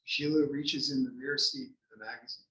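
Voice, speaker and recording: A man's voice: medium pitch, steady tone, fairly quick, bright, forceful tone, highs cut off, slight background noise. A man narrating stage directions from a script at a table read. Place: library room, table read. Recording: echoey library room, distant mic.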